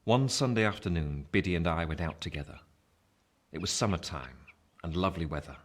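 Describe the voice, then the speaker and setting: An actor playing a reflective gentleman who narrates his youth in a literary audio drama, in a low, husky, measured voice. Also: expressive.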